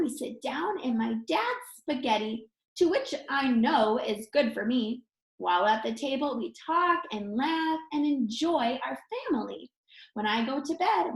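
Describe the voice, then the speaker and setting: A woman reading a children's picture book aloud expressively, like a teacher, with encouraging asides spoken straight to young listeners. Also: fairly high pitch. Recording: roomy, echoey sound.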